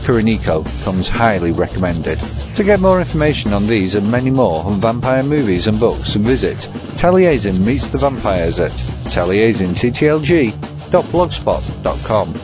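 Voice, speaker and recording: A man's voice: fairly low pitch, very animated, moderate pace. A man presenting a scripted review segment as narration. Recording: slightly muffled, music behind.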